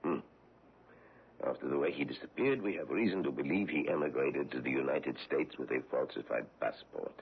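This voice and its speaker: An actor playing a courteous, probing foreign investigator on the trail of a war criminal, in a 1970s radio drama. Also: male voice, rumbling low, normal speed, gravelly, a little hiss or hum. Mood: suave, probing. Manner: courteous, probing, gently menacing. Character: suave middle-aged foreign male war-crimes investigator. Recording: tinny old radio broadcast.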